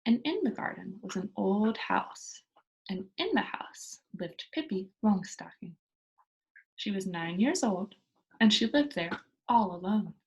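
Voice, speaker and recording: Woman reading to children with lively intonation. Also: medium pitch, unhurried, poor audio. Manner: storytelling, addressing children. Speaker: teacher reading a book aloud to pupils. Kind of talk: expressive children's read-aloud with informal explanations.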